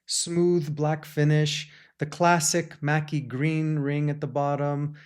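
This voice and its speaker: A man's voice: fairly high pitch, little pitch movement, slow, clear, resonant tone. A man hosting a gear review, talking in an enthusiastic, chatty way with small restarts, recorded on a shotgun microphone. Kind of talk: product review talk.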